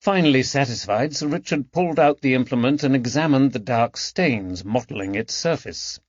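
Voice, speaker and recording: A man's voice: medium pitch, animated, moderate pace, no room sound, dull audio. A man reading scripted narration of a mystery novel as an audiobook narrator.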